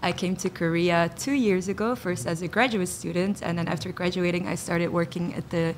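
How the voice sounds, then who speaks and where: A woman's voice: on the low side, steady tone, fairly quick, a little hiss or hum. A young woman from Belgium, a guest on a talk show, chatting cheerfully with lots of "like" fillers. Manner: cheerful, laughs often, many "like" fillers. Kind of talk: casual chat.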